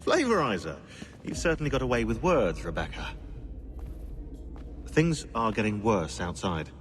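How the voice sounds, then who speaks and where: An actor playing a sardonic, fussy researcher in a video game, with a dry, wordy, sarcastic delivery. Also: male voice, lowish voice, big pitch swings, moderate pace, faint music behind. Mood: wry. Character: sardonic, fussy male researcher on a covert team. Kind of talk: video game cutscene acting.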